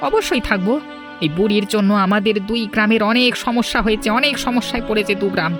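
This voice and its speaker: A woman's voice: fairly high pitch, quick pace, over music, lightly acted. A woman voicing a worried, loyal younger sibling in a cartoon fairy tale, earnest and pleading.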